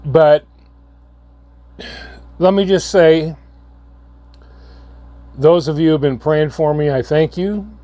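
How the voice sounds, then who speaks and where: A hoarse man, coming down with a cold, giving a halting, earnest update to his viewers. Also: fairly high pitch, dark tone, dry recording. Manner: halting, repeats words, slow and earnest. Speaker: channel host. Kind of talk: casual vlog update.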